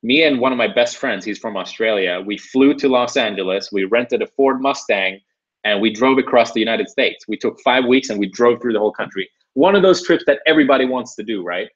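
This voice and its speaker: A man's voice: medium pitch, a little fast, slightly rough. A young man from Sweden hosting a live webinar, talking casually with lots of "you know" and "right". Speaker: webinar host. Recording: dull lo-fi webinar audio.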